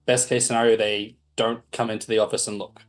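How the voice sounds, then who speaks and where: Low-voiced, wordy man at game night. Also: flat delivery, fairly quick. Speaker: player. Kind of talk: casual tabletop roleplay talk.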